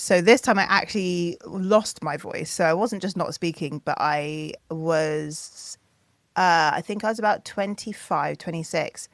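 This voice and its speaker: A woman's voice: low voice, dry recording. A woman in her thirties, a singer and producer, telling stories animatedly in a podcast interview and acting out what others said. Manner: animated storytelling, acting out quotes in a raised voice.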